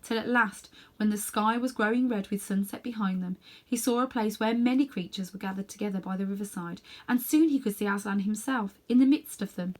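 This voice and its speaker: A woman narrating a children's novel as an expressive read-aloud storyteller. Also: medium pitch, a little fast, few pauses. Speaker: storybook reader.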